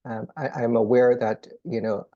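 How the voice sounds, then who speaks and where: A middle-aged man with a Jamaican accent giving a measured, explanatory conference lecture as a children's neurologist, pausing often on "um". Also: medium pitch, level tone, lo-fi sound. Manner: measured, explanatory, frequent "um" pauses.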